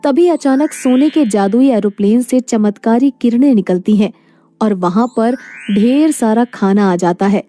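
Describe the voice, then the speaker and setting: Mellow, high-voiced woman story narrator. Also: unhurried, soft background music. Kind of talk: scripted moral story narration.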